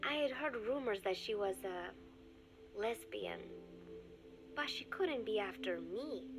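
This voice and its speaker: An actress voicing an earnest young woman reading her diary aloud in a video game, calm and reflective. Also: medium pitch, takes it slowly, over music. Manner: calm, reflective, softly spoken. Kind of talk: game audio diary narration.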